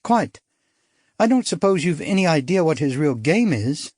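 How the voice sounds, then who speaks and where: A daring young actress with an arch, theatrical wit, as a male audiobook narrator puts her on. The voice sits high and light, teasing and quick with a line. It is recorded dry and close, with no room sound. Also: big pitch swings, a little mellow, husky, dry recording. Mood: arch, playful. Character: witty, daring young upper-class Englishwoman, an actress.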